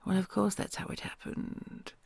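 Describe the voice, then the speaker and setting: A woman voicing a kind, doting queen dragon, huge and ancient, who tells old legends in a soft hush and gently teases a human she adores. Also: normal speed, no echo, lightly acted. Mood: tender, teasing. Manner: soft hushed storytelling, affectionate and gently teasing. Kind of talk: ASMR audio roleplay.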